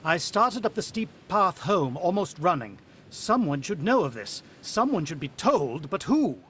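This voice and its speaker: Proud, prickly husband for vintage-style radio thriller male actor, higher-set voice, rumbly noise behind. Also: sing-song, unhurried, a bit bright, heavily acted. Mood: tense, ominous. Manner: condescending, irritable. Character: proud, short-tempered husband, bookish and superior, narrating his ordeal. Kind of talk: radio drama acting with first-person narration.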